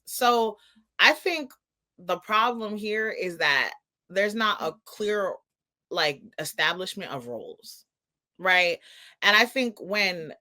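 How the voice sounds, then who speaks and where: A woman co-hosting a podcast, explaining her opinion in a casual, emphatic way and restating points to make them clear. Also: on the low side, pushed and bright. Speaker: podcast co-host.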